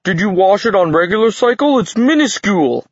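A man's voice: sits high, animated, a little fast, no room sound, lo-fi sound, pitched above the performer's own voice. A man voicing a whiny, grumpy giant bowler in an audiobook, indignant and exclaiming. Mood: whiny outrage. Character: small-statured grumpy giant bowler, loud, whiny, doting on his ball.

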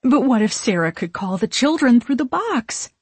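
A nervous witch from a storybook, voiced by a female narrator, eager to be heard but afraid to push. Her speech is hesitant, then hurried and pleading, lifted a little above a plain middle pitch. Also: big pitch swings, a little mellow, husky, dry recording. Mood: anxious, hurried.

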